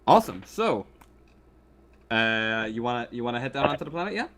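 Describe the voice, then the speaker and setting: A man running a tabletop game as game master in a casual way, with hesitant, halting explanations. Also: mid-range voice, sing-song, fairly bright tone.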